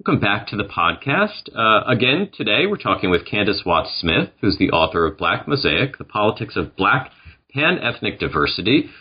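A rather low, husky man hosts a podcast, and his voice swings widely in pitch as he asks friendly, hesitant questions. The audio is dull and lo-fi. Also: sing-song, normal speed. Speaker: podcast host. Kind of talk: podcast interview.

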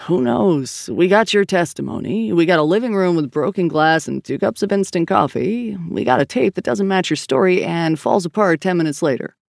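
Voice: mid-range voice, expressive, moderate pace, creaky, few pauses, dry recording. An audiobook narrator playing a weary, skeptical male police partner, blunt and dryly sardonic. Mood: guarded, weary. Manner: dry, sardonic, reluctant.

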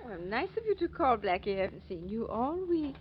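An actress playing a genteel, upright aunt in 1940s radio style, with a polite, proper manner. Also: female voice, on the high side, very animated, fast talker. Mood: pleased, gracious. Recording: muffled, noisy old radio recording.

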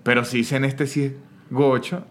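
A man's voice: medium pitch, moderate pace. A man from San Cristóbal, Venezuela, with an Andean Venezuelan accent, a podcast guest chatting casually and laughing as he tells stories. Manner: laughing, animated storytelling. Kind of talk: casual chat.

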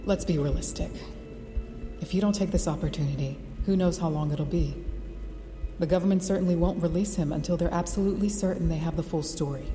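A woman voicing a measured, persuasive government official in an audio drama. Her voice is lowish and warm, never raised. A long reverb and faint score surround her. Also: faint music behind, natural voice. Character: persistent government official woman, calm pressure. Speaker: official recruiting the brother.

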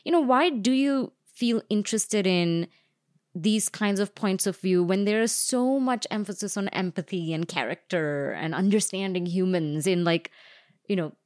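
A woman podcast host with an average-pitched voice. She talks in a conversational interviewer's style, addressing her guests directly. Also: normal speed, a little mellow.

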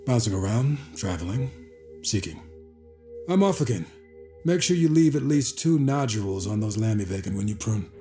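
Mysterious summoned conjurer male actor, assured and cryptic, lively medium-pitched delivery over music. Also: moderate pace, close to an everyday voice. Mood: breezy, cryptic. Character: cryptic otherworldly conjurer, summoned powerful mentor. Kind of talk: audio drama acting. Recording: music behind the voice.

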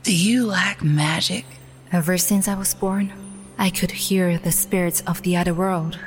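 A woman's voice: fairly low pitch, steady tone, a little slow, natural voice. A woman playing a mystical character who hears spirits, in an audio drama. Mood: mysterious. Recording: long reverb, music behind.

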